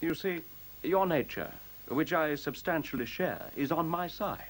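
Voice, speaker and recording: A man playing a newborn machine intelligence in a science fiction radio play, speaking in measured, formal, pedantic sentences with cool, superior logic. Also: on the high side. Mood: assured. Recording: faint hiss, 1970s radio recording.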